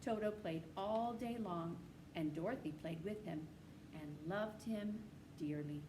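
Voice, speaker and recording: A woman's voice: lowish voice, a little slow. Woman reading aloud over background noise. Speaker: storybook reader. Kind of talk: reading a children's novel aloud. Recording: clearly noisy amateur recording.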